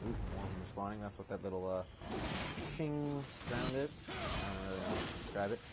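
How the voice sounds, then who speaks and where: Low-voiced young man over heavy noise. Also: sing-song, moderate pace. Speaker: gamer host. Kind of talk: casual gameplay commentary.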